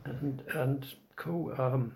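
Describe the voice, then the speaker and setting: A man conducting an oral history interview, asking measured, polite questions with some hesitation. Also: medium pitch, gravelly, a little mellow, a little hiss or hum. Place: old building, a former dormitory.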